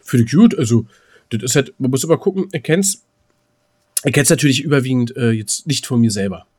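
A man's voice: medium pitch, fairly quick, a little mellow, breathy edge. A man co-hosting a casual, rambling podcast, often stammering and repeating words with a jokey, teasing manner. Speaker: podcast co-host.

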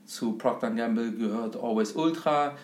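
A man's voice: medium pitch, moderate pace. German man explaining stocks, roomy sound. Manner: explains with lots of "ähm" fillers and examples. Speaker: investment speaker, guest. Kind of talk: casual podcast conversation. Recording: roomy echo.

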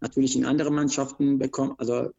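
A man from Iran with an Iranian accent, a wrestler recounting his career in an interview, speaking in halting, broken phrases with many restarts. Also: mid-range voice, little pitch movement, creaky, mellow, muffled recording. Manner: broken grammar, frequent restarts and hesitations. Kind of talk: interview, telling his life story.

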